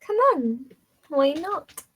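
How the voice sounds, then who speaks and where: A woman playing a gentle, sickly young captive in a drama table read, with a soft, breathy voice. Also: high voice, sing-song, slow pace, no room sound, heavily acted. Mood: teasing.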